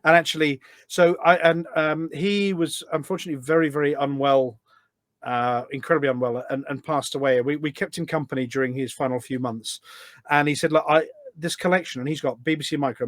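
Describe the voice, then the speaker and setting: A man's voice: a little high, no echo. A middle-aged man talking casually and at length as a podcast guest, explaining things in an anecdotal way. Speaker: podcast guest, volunteer event organiser. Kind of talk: casual conversational interview.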